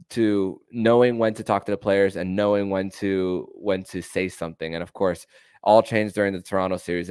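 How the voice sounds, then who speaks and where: A man hosting a sports podcast, talking in a halting way with repeated and drawn-out words. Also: low voice, clear, resonant tone, no room sound. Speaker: podcast host.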